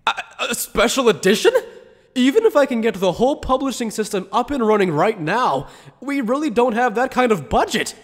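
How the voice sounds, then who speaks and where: A man's voice: very high voice, animated, fairly quick. A man playing an earnest, dutiful junior officer in an anime fan dub, polite and easily flustered. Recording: long hall reverb.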